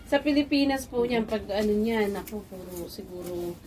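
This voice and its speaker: A woman's voice: medium pitch, lively intonation, moderate pace, background noise. A Filipino woman chatting casually and politely outdoors in a rooftop garden. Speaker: visiting neighbor. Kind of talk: casual chat.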